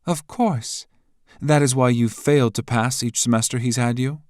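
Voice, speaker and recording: A man's voice: mid-range voice, fairly even intonation, moderate pace, dry recording, studio quality, pitched above the performer's own voice, natural voice. A man voicing a cold, mocking woman official who speaks coolly and sharply. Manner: cool, sharp, condescending.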